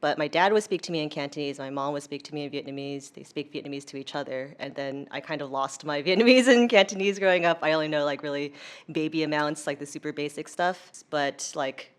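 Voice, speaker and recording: A woman's voice: deep voice, a little fast, clean tone. A young woman with an American accent talking in a casual, chatty way as an interview guest, peppering her sentences with "like". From United States. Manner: chatty, informal, heavy use of "like".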